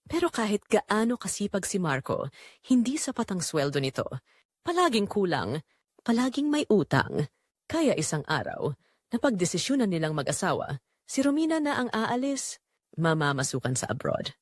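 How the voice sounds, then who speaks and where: A woman's voice: medium pitch, very animated, normal speed, no echo. A woman with a Filipino accent narrating a scripted dramatic story as a storyteller.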